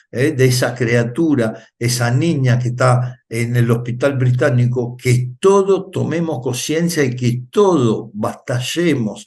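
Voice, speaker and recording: A man's voice: mid-range voice, darkish tone. Emphatic Italian-born man commenting. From Italy. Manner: emphatic, repeats phrases for weight, frequent "eh" fillers. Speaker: interview guest. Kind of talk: political commentary.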